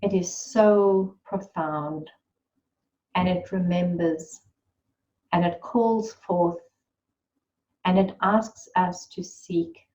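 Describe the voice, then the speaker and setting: Woman, meditation guide, slow and soothing, lowish voice, dull lo-fi audio. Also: fairly even intonation, a little mellow. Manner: slow, soothing and unhurried, with long pauses.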